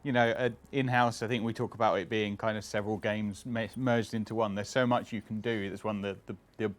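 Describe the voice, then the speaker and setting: Man, game designer guest, relaxed explaining, low voice. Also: brisk. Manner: relaxed, explanatory, many "kind of" fillers. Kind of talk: casual interview answers.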